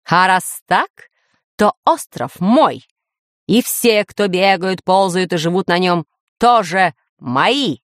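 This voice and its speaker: Woman playing a slow, thundering masked villain. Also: on the low side, animated, a bit bright, no room sound, studio quality, heavily acted. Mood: imperious. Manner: thundering, drawn-out, imperious delivery. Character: booming masked villain, self-proclaimed lord of dinosaur island, grandiose, menacing.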